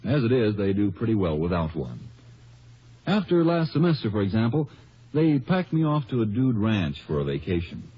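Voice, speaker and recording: An actor playing a genial, wry psychology professor telling his story in a 1950s radio advertisement, in a husky voice. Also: on the low side, sing-song, normal speed. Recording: dull vintage radio recording.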